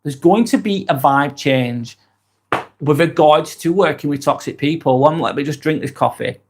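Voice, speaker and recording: A man's voice: fairly high pitch, moderate pace. A man from East Lancashire with a Lancashire accent, chatting casually on a livestream vlog and reading out viewer comments. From East Lancashire, England. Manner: chatty and rambling, with frequent ums and restarts. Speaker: livestream vlogger.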